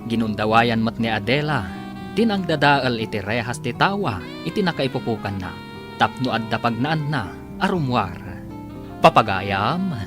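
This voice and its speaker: Brief: formal male radio announcer, animated delivery over guitar. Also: medium pitch. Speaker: announcer and narrator.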